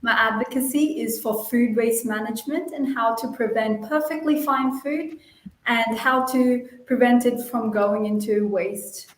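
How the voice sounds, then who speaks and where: A woman in her twenties from Australia introduces herself politely over a video call. Her voice is slightly high and bounces around an echoey room. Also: unhurried. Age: 20s. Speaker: pageant contestant.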